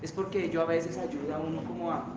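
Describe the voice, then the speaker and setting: A man's voice: fairly high pitch, fairly even intonation, a little fast, dull audio, heavy background noise. A man preaching a sermon, then leading the church in emphatic, fervent prayer. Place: church hall. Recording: very echoey church hall with guitar.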